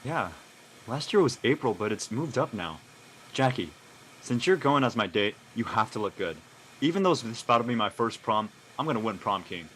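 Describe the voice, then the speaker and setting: Casting: male actor as cocky, eager teen boy, teasing and casual, very animated pitch, audio drama. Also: normal speed, slight background noise, lightly acted, rough recording. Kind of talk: live audio drama table read.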